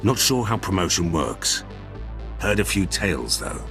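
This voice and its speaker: A wry, opportunistic military officer from a video game. His very deep voice is clipped and matter-of-fact. Music sits behind it. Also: big pitch swings, unhurried, vocal fry, exaggerated voice. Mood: wry, cocky. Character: cynical, opportunistic male Imperial military officer.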